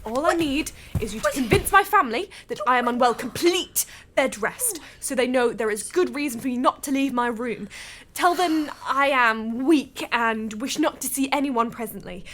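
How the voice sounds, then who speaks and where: A woman's voice: high-pitched, animated, moderate pace, a bit bright. An actress playing a sheltered, determined young lady in a radio drama, with formal, wistful period diction. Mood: pleading, determined. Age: young adult. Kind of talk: radio drama acting with diary narration.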